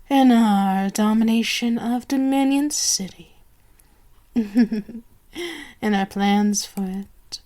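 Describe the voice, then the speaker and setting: A woman voicing a sadistic, possessive vampire queen in an ASMR roleplay, with a haughty, teasing, drawn-out delivery. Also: fairly high pitch.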